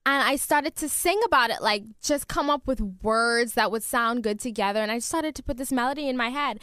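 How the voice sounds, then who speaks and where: A fifteen-year-old girl from the Bahamas talks in a bubbly, giggly way. Her voice sits a bit high and sounds smooth. It is recorded dry and close in a studio. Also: brisk, a bit bright, no echo. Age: teenager, 15. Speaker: guest singer. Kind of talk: casual interview. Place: radio studio.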